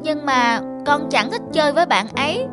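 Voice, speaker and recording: A woman's voice: high voice, expressive, moderate pace. A woman voicing a proud, boastful little schoolgirl in a cartoon, childlike and eager. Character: proud, boastful little schoolgirl idolizing her famous mother. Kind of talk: animated moral-tale dubbing. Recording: music behind the voice.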